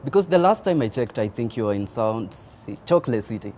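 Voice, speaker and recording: A man's voice: medium pitch, a little fast. Man, interview host, casual, chatty, faint background noise. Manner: energetic greeting, frequent affirming murmurs.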